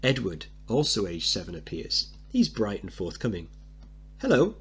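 A man's voice: sits low, expressive, moderate pace, a bit bright, breathy edge. A man teaching, reading out a play's stage directions and explaining them as he goes. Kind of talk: dramatic reading with analysis.

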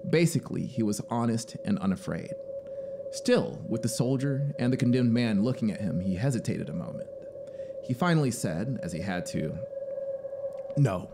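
Expressive male storyteller, theatrical delivery. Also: medium pitch, moderate pace, vocal fry, over music. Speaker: narrator. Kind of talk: dramatic scripted story narration. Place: small home music studio, indoors. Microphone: close studio mic on boom arm. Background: ambient synthesizer soundscape.

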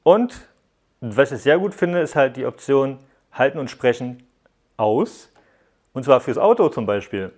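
A man's voice: mid-range voice, lively intonation, a little slow, darkish tone, breathy edge. Man giving a casual tech walkthrough. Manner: explanatory, addressing viewers directly. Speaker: app reviewer, host. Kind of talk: casual hands-on tech review narration.

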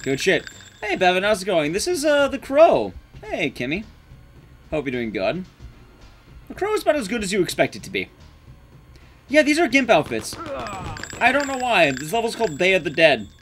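A man's voice: high-pitched, huge pitch swings, normal speed, pauses often, a bit bright, faint music behind. A young man giving casual, sweary, sarcastic live commentary as he speedruns a game. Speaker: speedrun streamer. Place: indoor room at home, streaming setup.

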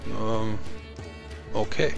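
A man's voice: on the low side, fairly even intonation, very slow, gravelly, exaggerated voice. A man playing a courteous, apologetic noble knight in a tabletop game, speaking hesitantly and politely. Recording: background music.

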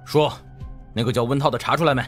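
A man's voice: mid-range voice, fast talker, a bit bright, soft background music, natural voice. A man playing an arrogant, foul-mouthed young boss who barks scornfully at underlings in an audiobook drama. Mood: furious, contemptuous. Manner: scornful, barking.

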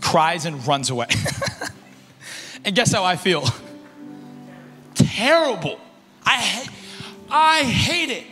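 A young man preaching to a youth conference on a large stage into a handheld mic, alternating shouted emphasis with low, soft asides. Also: lively intonation, takes it slowly, pauses often. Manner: paces and gestures across the stage. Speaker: youth pastor. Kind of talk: energetic sermon. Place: large stage in a concert hall. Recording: reverberant hall with faint music.

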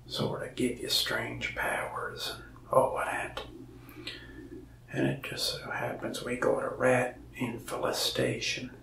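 Gravelly, whispery young man, slow. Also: mid-range voice, a bit bright, echoey room, recorded from a distance. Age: young adult. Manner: whispery, folksy and rambling. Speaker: mask shop salesman in roleplay. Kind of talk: soft-spoken ASMR roleplay. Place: indoor room before a wall of masks.

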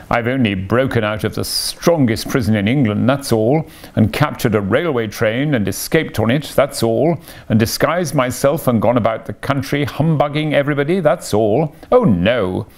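A man voicing a boastful, excitable, theatrical toad in an animal storybook. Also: mid-range voice, natural voice. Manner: theatrical bragging, self-pitying wailing. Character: boastful, excitable, easily weeping male toad.

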